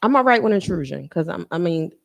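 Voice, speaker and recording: A woman in her thirties speaks as an interview guest, in a voice that sits a little low. Her talk is candid and reflective, and she often restarts or repeats a phrase as she works through a thought. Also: animated, fast talker, vocal fry, slight background noise. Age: 30s. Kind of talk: candid personal conversation.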